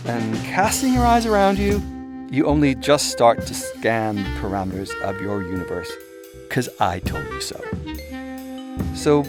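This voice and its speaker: A man reading a self-help audiobook in an irreverent, sweary, chatty way, with emphatic, theatrical phrasing. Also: medium pitch. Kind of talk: scripted narration, second-person self-help monologue. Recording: music behind the voice. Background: musical soundscape.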